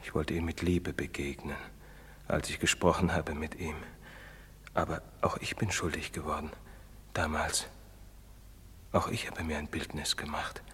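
An actor playing an earnest priest in a stage drama, pleading and moralizing in rhetorical style. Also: male voice, extremely low pitch, little pitch movement, a little slow, bright, forceful tone, breathy edge, exaggerated voice. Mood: remorseful, subdued. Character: earnest, preaching priest, later old and guilt-ridden. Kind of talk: theatrical stage drama acting. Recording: reverberant theatre stage recording.

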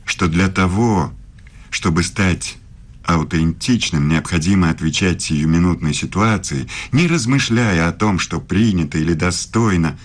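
A very low male voice, a middle-aged professor in a radio play. He courts in formal, measured phrases, intimate and insinuating. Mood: solemn, intimate. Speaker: radio drama actor.

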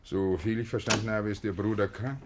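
A man's voice: on the low side, steady tone, brisk, noisy background, natural voice. An actor in a 1950s radio play playing a nosy country neighbour, brusque yet deferential, who repeats his exclamations. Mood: curious, gloomy.

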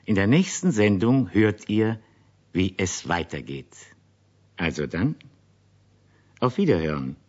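Male narrator for children's radio series: deep, slow, husky, wryly conversational yet melodic, dull vintage sound. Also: sing-song, darkish tone. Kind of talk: storytelling addressed to children.

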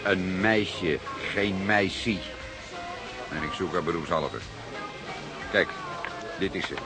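An actor with a Netherlands Dutch accent playing a seasoned, wry, fatherly older police detective, speaking deliberately and a little ornately, as if lecturing, in a radio drama. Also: low voice, music in the background. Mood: dry. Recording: hissy old radio play recording.

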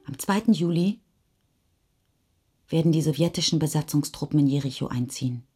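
A woman with a husky voice narrating literary prose for a radio feature. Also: low-pitched, moderate pace, dark tone.

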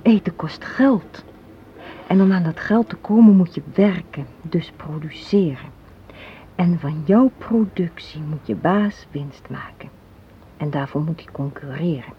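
Radio play, muffled with some hiss: a young, hard-minded cynic who lectures and challenges with pointed questions. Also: female voice, on the low side. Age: young adult. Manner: argumentative, lecturing, rhetorical questions. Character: hard-minded young woman, cynical about money yet loving. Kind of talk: Dutch radio play acting. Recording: dull, noisy old radio recording.

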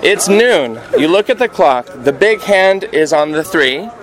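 An American man working as a radio host and interviewer, speaking clearly and deliberately. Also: fairly high pitch, expressive, crowd noise. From United States. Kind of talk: radio intro and street interview.

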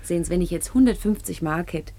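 A woman's voice: on the low side, quick pace, mellow, close to an everyday voice. An actress playing a naive, hopeful young saleswoman down on her luck in a radio play. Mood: plaintive, earnest. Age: young adult. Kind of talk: German radio play acting.

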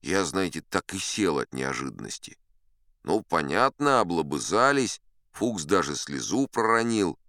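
An actor playing a seasoned, unflappable sea captain who spins tall tales in a chatty, wry, theatrical audiobook manner. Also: male voice, low voice, big pitch swings, unhurried, fairly bright tone, dry recording, clean studio sound, exaggerated voice. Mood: wry, unhurried. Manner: conversational, wry, leisurely asides to the listener. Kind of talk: theatrical audiobook storytelling in first person.

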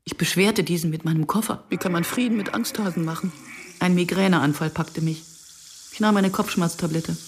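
A woman's voice: fairly low pitch, brisk, a little hiss or hum, natural voice. An actress playing an anxious, introspective woman who narrates a radio drama in the first person. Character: anxious, introspective Israeli woman recalling her past. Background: music.

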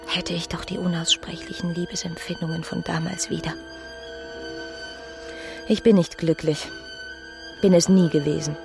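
A woman's voice: fairly low pitch, huge pitch swings, normal speed, creaky, long pauses, music in the background, heavily acted. An actress playing a passionate, bitter young married woman who broods in a literary radio drama. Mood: melancholy. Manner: brooding inner monologue with emotional outbursts.

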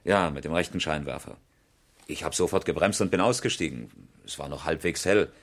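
A man's voice: a bass voice, a little fast. A man acting in a 1970s German radio crime drama as a colloquial, scoffing amateur rally driver. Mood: matter-of-fact recounting. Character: breezy, indignant male amateur rally driver and engineer.

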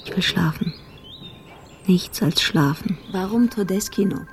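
An actress playing a weary, exasperated police investigator in a radio drama, speaking in terse, clipped sentences. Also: female voice, on the low side, a little slow, a little hiss or hum. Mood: weary, desperate. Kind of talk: radio drama monologue and dialogue.

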